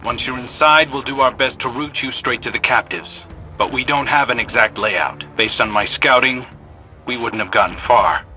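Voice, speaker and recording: An actor playing a pragmatic military field operator in a video game, giving brisk briefings over a radio. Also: male voice, mid-range voice, sharp tone, soft background music, low-quality recording. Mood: focused. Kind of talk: scripted video game dialogue.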